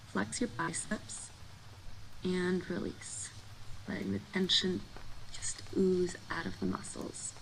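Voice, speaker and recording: Lady doing a sleep meditation, really slow n fry-y, breathy soothing and casual. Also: on the low side, poor audio. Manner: soothing, informal, reassuring. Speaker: guided meditation host. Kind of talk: guided sleep meditation, softly instructing. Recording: clear background noise.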